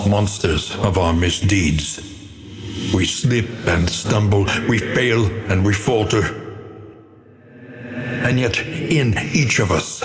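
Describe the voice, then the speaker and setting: A man playing a brooding, eloquent mentor who narrates a dark video game in a solemn, theatrical, portentous manner. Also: lowish voice, in a large hall, noisy background. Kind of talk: dramatic scripted game narration.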